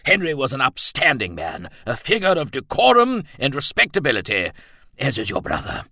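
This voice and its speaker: Casting: pompous retired colonel played by a man, older, blustering, formal and indignant, forceful delivery for audiobook fiction. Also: medium pitch, huge pitch swings, normal speed, no echo, clean studio sound, voice pushed up, heavily acted. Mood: stern, reproachful.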